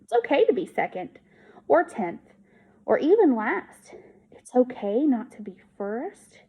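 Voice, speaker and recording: Woman as storybook mother, calm, reassuring, patient gentle delivery, higher pitch. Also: a little slow, breathy edge, full character voice. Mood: consoling.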